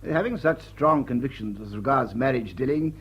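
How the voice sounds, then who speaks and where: An actor playing a pompous, old-fashioned English lord with an upper-class accent and a formal, prim, hesitant manner in a period drama. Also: male voice, medium pitch, brisk, natural voice. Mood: stiff, offended. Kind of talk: period drawing-room radio drama acting. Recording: dull, muffled audio.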